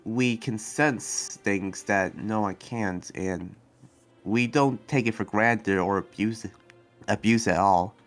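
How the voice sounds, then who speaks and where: A man playing a kind, idealistic young demon slayer and researcher in an improvised roleplay, speaking earnestly and haltingly. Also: lowish voice, soft background music. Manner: hesitant, earnest, halting with restarts.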